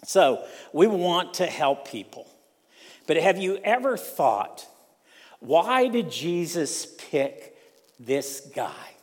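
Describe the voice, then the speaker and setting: Older male preacher, high voice, slow deliberate delivery, emphatic repetition, church sanctuary. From Ohio, USA. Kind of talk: sermon. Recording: long hall reverb.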